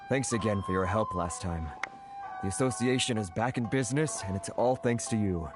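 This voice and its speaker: Casting: put-upon trader for a video game, male actor, fairly low pitch, flustered and easily exasperated. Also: moderate pace, music in the background, close to an everyday voice. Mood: flustered, polite. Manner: hesitant, sighing, exasperated. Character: flustered, aggrieved merchant of a trade association.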